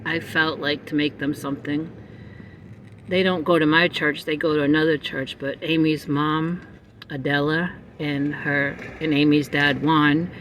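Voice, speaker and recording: A man's voice: on the high side, slight background noise. Chatty middle-aged man vlogging from his car. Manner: chatty, meandering, frequent um pauses. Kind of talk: casual rambling chat to viewers. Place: parked car in a parking lot. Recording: slightly distant phone mic with faint ambience.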